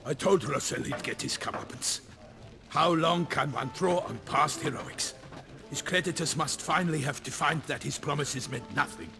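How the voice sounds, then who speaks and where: An actor playing a pompous, excitable, good-natured knight in a fantasy video game, speaking in a florid, theatrical manner. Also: male voice, a little high, moderate pace, music in the background, close to an everyday voice. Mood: indignant. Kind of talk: video game cutscene acting.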